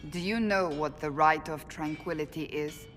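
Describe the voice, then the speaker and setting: An actress playing a stern, devout woman warrior in a video game cutscene, speaking gravely and formally. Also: low voice, fairly bright tone, soft background music. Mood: grave, shaken. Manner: grave, formal, deliberate phrasing. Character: stern, devout woman warrior of a holy order, troubled and earnest. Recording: reverberant hall, faint background noise.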